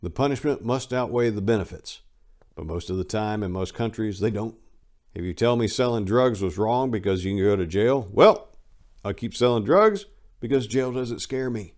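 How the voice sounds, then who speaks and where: A man's voice: fairly low pitch, lively intonation, normal speed, darkish tone. A man reading an autobiography aloud in a conversational, emphatic, dramatic audiobook style. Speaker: reader of an autobiography he edited.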